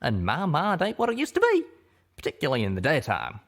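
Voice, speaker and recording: Man acting elderly male storybook pony, folksy, frank, rustic, raised pitch. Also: huge pitch swings, quick pace, fairly bright tone, long reverb tail, heavily acted. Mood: resigned, frank. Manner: folksy rural phrasing.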